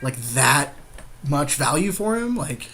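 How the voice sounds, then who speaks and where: A man's voice: fairly high pitch, big pitch swings, takes it slowly, pushed and bright. A man co-hosting a podcast, casually talking through plays in a card game. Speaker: podcast co-host.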